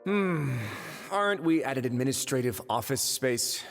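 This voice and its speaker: An actor playing a composed, authoritative male boss in a video game, drily teasing, polite and unhurried. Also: mid-range voice, lively intonation, long reverb tail, soft background music, natural voice. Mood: wry, composed.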